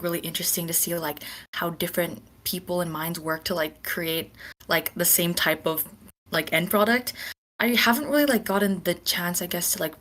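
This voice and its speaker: A woman's voice: on the low side, a bit bright. A woman chatting casually on a panel, very informal, with frequent filler words breaking up her phrases. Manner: informal, peppered with "like". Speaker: podcast panelist. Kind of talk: casual chat.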